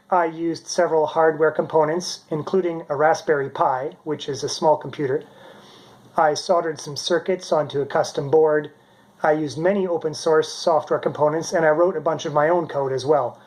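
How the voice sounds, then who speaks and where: A man's voice: a little high, a little mellow. A middle-aged man casually narrating a demonstration as a hobbyist maker. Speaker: maker presenting his homemade robot.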